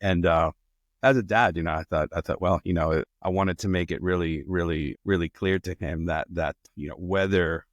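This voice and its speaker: A middle-aged man from Colombia speaks in a very low, deep voice as a podcast guest. He talks in a loose, rambling way and keeps restarting his sentences. The sound is dry and close, with no room echo. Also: moderate pace. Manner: relaxed, rambling with frequent restarts. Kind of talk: casual conversation.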